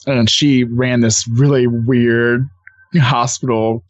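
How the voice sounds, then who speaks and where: A man's voice: medium pitch, flat delivery, takes it slowly, dry recording, muffled recording. A man talking as a podcast guest, eagerly explaining things in casual conversation. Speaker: podcast guest, co-host of own show. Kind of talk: casual interview chat.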